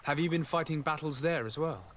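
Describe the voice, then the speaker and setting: Actor as a composed, courteous noble envoy. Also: male voice, fairly high pitch, brisk, slight background noise, natural voice. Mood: composed, inquiring. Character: polite young nobleman serving as legate, reserved and composed. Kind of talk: formal role-playing game dialogue.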